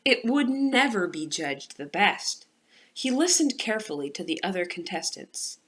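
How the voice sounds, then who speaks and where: A woman with a medium-pitched voice speaks as a music teacher. She presents and reads aloud for children, with clear intent to instruct and an expressive, deliberate delivery. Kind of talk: instructional presentation, then storybook read-aloud.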